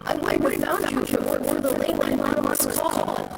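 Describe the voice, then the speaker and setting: A man's voice: a bass voice, monotone, fast talker, creaky, full character voice. A man playing a timid, childlike ghost in a video game, apologetic and hesitant, with distorted audio. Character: timid, childlike male ghost, lost and afraid. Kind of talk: video game character acting. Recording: distorted game capture audio under music.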